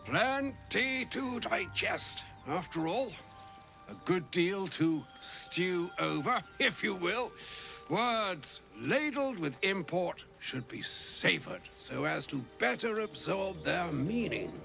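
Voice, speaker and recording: An ancient wizard from a fantasy game, fussy, grand and fond of florid words. His voice is high for a man and creaks with age, swinging widely as he talks. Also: very animated, pushed and bright, faint music behind, full character voice. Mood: fussy, jovial. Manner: pompous, florid, wordy, halting when uneasy. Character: ancient, gluttonous, grandiloquent old wizard, grave yet fussy. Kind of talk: theatrical video game voice acting.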